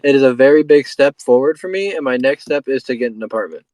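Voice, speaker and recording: Halting young man giving a life update. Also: medium pitch, animated, a little mellow, dry recording, rough recording. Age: 20s. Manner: halting, restarts sentences often. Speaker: podcast host. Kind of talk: casual chat, life update.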